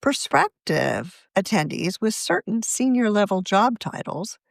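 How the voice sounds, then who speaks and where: Female narrator, lively pitch, measured article read, very dry recording. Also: creaky, no echo. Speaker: magazine editor reading own article.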